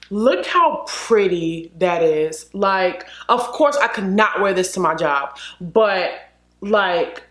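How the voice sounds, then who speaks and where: A woman vlogger chatting casually to camera, a little sniffly from a cold, full of "like" and "literally". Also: mid-range voice. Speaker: beauty vlogger. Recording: echoey room.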